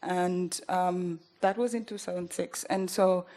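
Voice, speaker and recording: A young woman with a Ugandan accent, speaking earnestly at a panel, pausing often with fillers as she gathers her words. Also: fairly low pitch, steady tone, dark tone. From northern Uganda. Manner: earnest, halting, many fillers. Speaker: journalist panelist. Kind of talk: panel talk.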